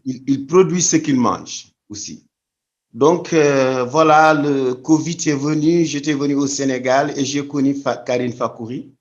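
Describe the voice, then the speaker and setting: A man from Senegal answers interview questions thoughtfully at a medium pitch, often restarting his phrases. The recording sounds dull and lo-fi. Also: unhurried. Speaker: guest.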